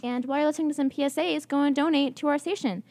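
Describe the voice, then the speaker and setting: A woman's voice: high voice, fairly even intonation, racing, a little mellow. A young woman co-hosting college radio, giving a station plug in a joking, rambling, self-mocking way. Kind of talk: station announcement and casual banter. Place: radio studio.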